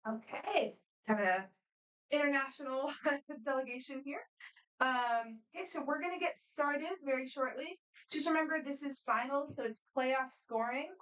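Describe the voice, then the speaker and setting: A woman moderating a student quiz competition, reading questions aloud in a brisk, friendly, matter-of-fact way. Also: sits high, fairly bright tone. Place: competition room. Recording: voice picked up from a distance.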